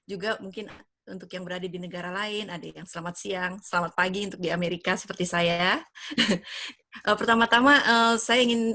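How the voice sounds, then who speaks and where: A woman's voice: fairly low pitch, bright, forceful tone, no echo. A woman in her fifties from Padang, hosting an online business presentation on a video call in an enthusiastic, persuasive way with many fillers. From Indonesia (Padang). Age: 50s. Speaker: event host. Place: home, lives in the United States.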